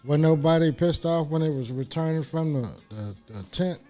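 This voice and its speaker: A man's voice: a little high, warm tone, faint music behind. A man in his fifties co-hosting a casual chat, joking and chiming in often. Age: 50s. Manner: joking, quick interjections. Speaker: podcast co-host.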